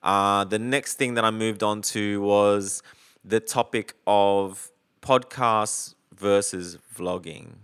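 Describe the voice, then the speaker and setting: A man's voice: on the low side, fairly even intonation, slow pace, fairly bright tone, smooth. A man chatting casually on a call about recording gear, rambling with lots of fillers and the odd swear. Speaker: podcaster on a video call.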